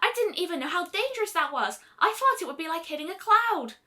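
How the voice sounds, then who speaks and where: A woman acts out a stubborn, protective young female pegasus in a story reading. The voice is high and forceful, with big swings in pitch. The delivery is blunt, slangy and emphatic. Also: sing-song, fairly quick, heavily acted. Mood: rueful.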